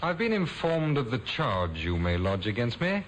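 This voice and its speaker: An actor playing a suave mocking suspect. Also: male voice, mid-range voice, very animated, normal speed, close to an everyday voice, poor audio. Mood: composed. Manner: suave, mocking, overly polite. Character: smooth evasive male murder suspect. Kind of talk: 1950s radio drama acting. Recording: old radio broadcast with faint hiss.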